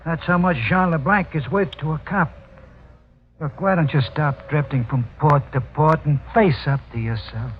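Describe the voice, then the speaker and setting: A man's voice: on the high side, normal speed, natural voice. An actor playing a cynical, streetwise racket henchman with wry, slangy tough talk, in 1940s radio mystery style. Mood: wry, needling. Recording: tinny, noisy old 1940s radio recording.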